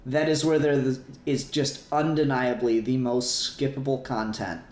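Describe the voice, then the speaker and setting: A man's voice: mid-range voice, fairly even intonation, unhurried, darkish tone. A man in casual, sweary fan discussion as a podcast co-host, rambling along with emphatic repetition. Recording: roomy echo, faint music behind.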